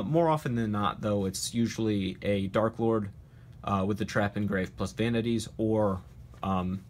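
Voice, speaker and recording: Man, nasal cold-stuffed voice, casual deck breakdown, confident, faint hiss behind. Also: lowish voice. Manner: confident, emphatic, frequent "uh" and "um". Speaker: card game channel host. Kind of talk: casual deck profile talk.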